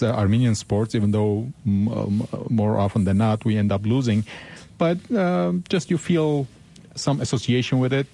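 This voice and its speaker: A man's voice: mid-range voice, warm tone. A man co-hosting a radio talk show, thoughtful and hesitant with many uhs, in a studio. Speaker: radio co-host.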